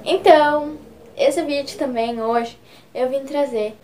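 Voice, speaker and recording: Young gaúcha girl vlogging, high voice, lots of "tipo", faint room hum, camera a few feet away. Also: slow, a little mellow. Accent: Gaúcho Brazilian Portuguese. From Rio Grande do Sul, Brazil. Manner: chatty, filler-heavy, gesturing a lot with both hands. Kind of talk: casual vlog explaining regional slang.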